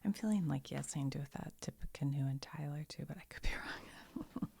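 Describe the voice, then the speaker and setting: A woman whispering softly, mostly unvoiced, while she sorts and reads cards with chatty, giggly asides. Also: sits low, a little fast, creaky. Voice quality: soft whisper, often unvoiced. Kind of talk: whispered ASMR card sorting.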